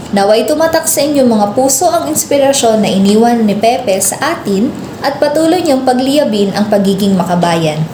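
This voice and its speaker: A woman's voice: a little high, noisy background, lightly acted. A woman playing a teacher who narrates a scripted story in an amateur radio drama. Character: female history teacher narrating a hero's story. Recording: echoey room, music behind.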